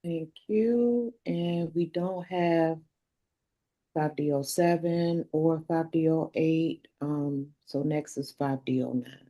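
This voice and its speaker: A woman's voice: sits low, slow pace, muffled recording. A woman acting as chair, moderating a public meeting and calling on speakers.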